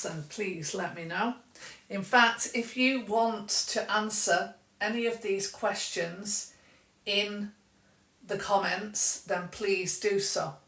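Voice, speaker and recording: Chatty woman with softened Mancunian accent. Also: medium pitch, echoey room, far from the mic. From England. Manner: chatty, self-correcting, restarts often. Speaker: English teacher. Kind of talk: live-streamed language lesson, chatting with viewers.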